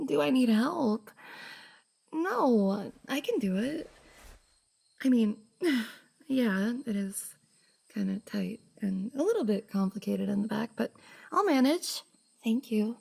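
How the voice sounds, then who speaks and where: A woman playing a shy, bubbly young cosplayer and affectionate best friend in an intimate roleplay ASMR, soft, hesitant and giggly. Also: fairly high pitch, lively intonation, slow, long pauses, exaggerated voice. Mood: sheepish, pleading. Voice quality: soft, breathy, slightly husky. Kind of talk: F4M roleplay ASMR, intimate one-sided conversation.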